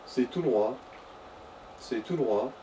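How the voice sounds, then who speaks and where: A man's voice: medium pitch, expressive, slow, warm tone. A man bantering casually beside a gamer, giving advice in a blunt, crude, teasing way. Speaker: co-commentator advising the player. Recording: distant mic with faint background noise.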